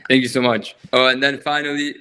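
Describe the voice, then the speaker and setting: A man's voice: medium pitch, level tone, brisk, sharp tone, slight background noise. Casual male host on a video call, friendly and rambling. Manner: friendly, repeats praise, many fillers. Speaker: project founder hosting a video call.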